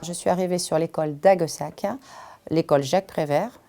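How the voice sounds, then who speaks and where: A woman teacher speaks in an interview. Her voice is on the low side, with a mellow, relaxed tone. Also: brisk. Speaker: schoolteacher. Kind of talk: interview answer.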